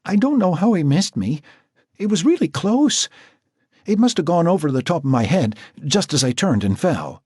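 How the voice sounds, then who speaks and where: A scared teenage runaway girl, put on by a male narrator, her voice pushed high and trembling. She stammers and breaks into sobs, the tone husky and breathy. The sound is dry and close with no room around it. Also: sing-song, a little slow, a little mellow, no room sound, exaggerated voice. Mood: tearful. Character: frightened teenage runaway girl, tearful and jumpy.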